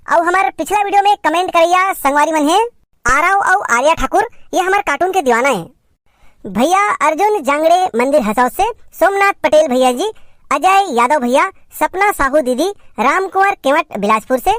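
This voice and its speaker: Woman presenter, Chhattisgarhi accent, high pitch, viewer shout-outs, dry close sound. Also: dry recording. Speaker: channel presenter.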